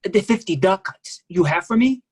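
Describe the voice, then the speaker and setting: A man's voice: high voice, expressive, moderate pace, darkish tone, breathy edge, exaggerated voice. A man playing a desperate foreign fugitive masseur in a staged play reading, pleading in clipped broken English. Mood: urgent.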